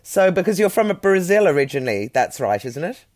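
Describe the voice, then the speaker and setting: Female host, deep low voice, encouraging interviewer, quick affirmations. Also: big pitch swings, darkish tone. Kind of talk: interview conversation.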